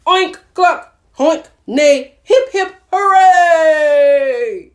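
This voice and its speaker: A cheeky little boy duck from a picture book, played by a woman, gleeful and teasing. The voice is high and drawn out, savoring every silly word. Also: lively intonation, very slow, heavily acted. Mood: exuberant. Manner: bellowing animal noises, giggly, teasing. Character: cheeky, gleeful young male puddle duck.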